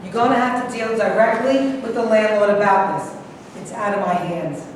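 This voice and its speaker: A woman's voice: mid-range voice, moderate pace, slight background noise, natural voice. A woman as a stubborn super, distant and echoey. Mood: dismissive. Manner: blunt, accusatory. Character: stubborn, dismissive, accusing apartment building super. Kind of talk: staged play reading. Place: indoor live staged reading.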